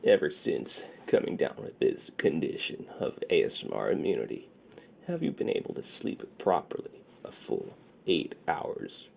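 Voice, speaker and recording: A man doing a soft-spoken ASMR roleplay as a shady, friendly underground dealer, with slow folksy patter that often drops to a near-whisper. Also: wildly swooping pitch, slight background noise. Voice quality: gravelly, low, often breaking into near-whisper.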